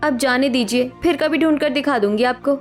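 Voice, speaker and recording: Lady doing a sharp young city bahu in a cartoon story, high pitched. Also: fairly quick, faint music behind, lightly acted. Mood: coaxing. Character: assertive, shrewd young city daughter-in-law.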